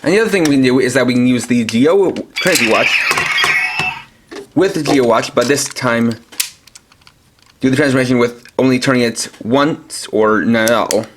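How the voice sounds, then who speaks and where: A man's voice: mid-range voice, normal speed, long pauses. Man demonstrating a toy, noisy recording. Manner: explanatory, step-by-step. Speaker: toy reviewer. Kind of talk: product demonstration commentary.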